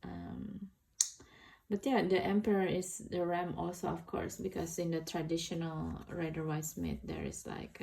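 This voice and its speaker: A woman's voice: on the low side, gravelly, mellow, a little hiss or hum, low-quality recording. A woman with an Indonesian accent giving a casual, hesitant tarot deck review, searching for words as she talks. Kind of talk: casual unboxing and flip-through commentary.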